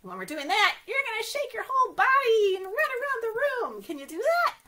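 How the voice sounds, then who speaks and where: A woman's voice: very high-pitched, huge pitch swings, a little fast, heavy background noise. A woman leading an interactive story time as a children's librarian, encouraging and sing-song, often putting questions straight to young listeners.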